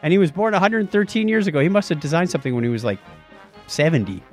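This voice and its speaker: A man's voice: on the high side, lively intonation, fast talker, faint music behind. A man co-hosting a podcast, bantering casually and reading out items with wry, joking asides. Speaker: podcast co-host.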